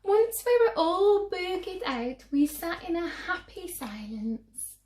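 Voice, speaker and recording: A woman reading a picture book aloud to children with theatrical, drawn-out emphasis. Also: extremely high pitch, sing-song, darkish tone, reverberant. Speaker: children's story reader.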